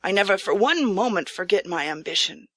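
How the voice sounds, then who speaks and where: A woman's voice: medium pitch, lively intonation, fairly quick, no room sound, natural voice. A woman voicing an earnest, courteous, impoverished samurai living as a humble farmer, in formal old-fashioned speech for an audiobook. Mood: proud, resolute. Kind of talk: audiobook character acting.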